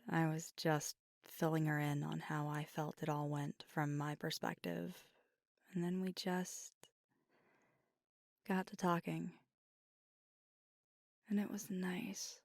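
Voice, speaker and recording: Woman as weary proud werewolf girlfriend, ASMR roleplay, hushed and breathy, unhurried halting delivery, very dry close sound. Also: sits low, level tone, no echo, full character voice. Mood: tender, reflective. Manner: hushed, halting, sighing, often slipping into whisper. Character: fiery, proud, weary young werewolf girlfriend confiding at night. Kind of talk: intimate ASMR roleplay audio drama.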